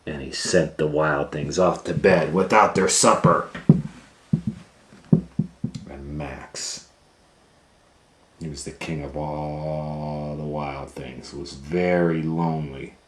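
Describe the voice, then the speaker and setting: A man reading a picture book aloud to children in a theatrical way, swinging between hushed tones and loud exclamations. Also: extremely low pitch, steady tone, slow, pauses often. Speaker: storytime reader and educator. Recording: roomy echo, faint background noise.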